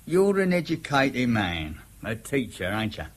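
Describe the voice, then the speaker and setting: An actor playing a wily, ingratiating ex-convict of fifty-five in a radio drama, with a husky voice and a chummy, deferential manner. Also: male voice, medium pitch, very animated, unhurried. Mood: sly, familiar. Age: 50s. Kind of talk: naturalistic radio drama acting.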